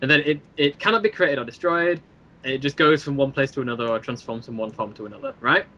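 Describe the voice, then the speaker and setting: A man speaking as a guest creator in a casual, jokey interview, animated and rambling as he explains, with mock-showman asides. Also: mid-range voice, fast talker, muffled recording. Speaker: interview guest, creator of a fan web series.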